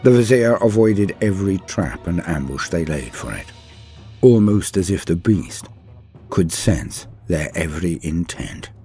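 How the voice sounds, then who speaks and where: A man narrating scripted lore as a guide's host, in a dramatic, grandiose and measured manner. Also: sits low, lively intonation, dark tone. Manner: theatrical, grandiose, measured phrasing. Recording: music behind the voice. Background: background music.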